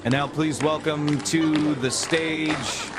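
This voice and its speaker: A man's voice: on the high side, fairly even intonation, slow pace. A man making a stage introduction as an announcer. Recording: very noisy room with crowd, clicks.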